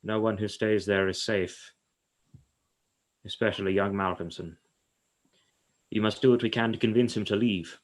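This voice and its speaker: Guy doing a solemn old-fashioned radio play doctor, lowish voice, haunted and ominous. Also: fairly even intonation, moderate pace, pauses often, natural voice. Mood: ominous, grave. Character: grave, haunted male psychiatrist who fears the supernatural.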